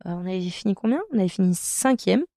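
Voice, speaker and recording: A woman's voice: medium pitch, fast, no room sound, studio quality. A woman in her thirties, a professional handball player from France, telling her career story in a podcast interview with many hesitations. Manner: hesitant, many fillers, warm enthusiasm about her career.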